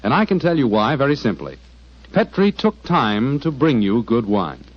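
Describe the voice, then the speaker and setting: An American man announcing and pitching for a sponsor in a folksy, polished 1940s radio style. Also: big pitch swings, moderate pace. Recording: dull 1940s radio recording.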